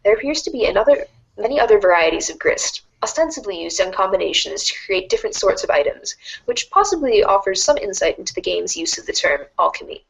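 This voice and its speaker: A woman's voice: mid-range voice, sing-song, quick pace, vocal fry, slightly rough, heavily acted, low-quality recording. A woman playing a wry, erudite teenage girl who reads out a game guide in a formal, precise, dryly instructive way, as storybook narration. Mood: measured, instructive. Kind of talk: scripted read-along storybook narration.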